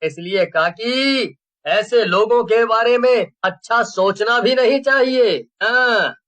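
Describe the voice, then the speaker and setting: Man voicing a slow, emphatic village gossip. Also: extremely high pitch, pushed and bright, full character voice. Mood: righteous, disapproving. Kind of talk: Hindi cartoon storytelling dialogue.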